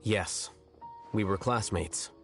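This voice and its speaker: An actor playing an aloof, blunt young scholar in a video game, speaking in a measured, condescending deadpan. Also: male voice, fairly low pitch. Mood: cool, dismissive. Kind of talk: video game character dialogue. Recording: game music behind the voice.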